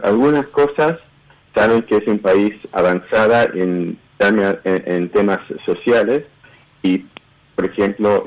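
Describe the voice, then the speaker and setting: A man with an English-speaking Canadian accent, answering interview questions in a measured way and often repeating words. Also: sits low, poor audio. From Uruguay and Canada. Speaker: guest researcher. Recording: radio broadcast audio.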